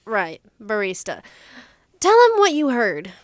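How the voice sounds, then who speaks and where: A skeptical lady detective grilling people in an audio drama. The delivery is blunt and sardonic, with expressive swings of pitch. The recording is close and dry. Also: a little slow, no room sound. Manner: sardonic, interrogating. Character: skeptical, blunt female murder investigator.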